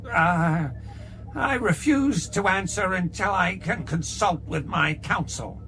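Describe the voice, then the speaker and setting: A greedy, jittery alien barkeeper from a storybook recording, pitched high and wheedling. He swoops up and down a lot and drags his words out slowly. Music plays underneath. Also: lively intonation, sharp tone, exaggerated voice. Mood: agitated. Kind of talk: audiobook character voice. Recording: music playing behind the voice.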